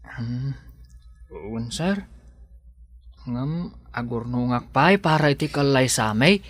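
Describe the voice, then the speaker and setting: Man playing a slow-speaking humble young suitor. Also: mid-range voice, lively intonation, no echo, clean studio sound. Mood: deferential. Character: humble, devoted young working man in love. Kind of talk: audio drama acting.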